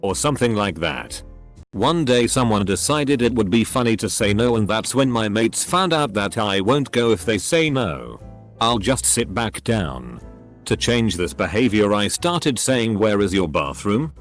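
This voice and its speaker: A man's voice: fairly low pitch, sing-song, measured pace, faint music behind. A synthetic male narrator reading online posts aloud, with stiff delivery that speaks punctuation marks as words.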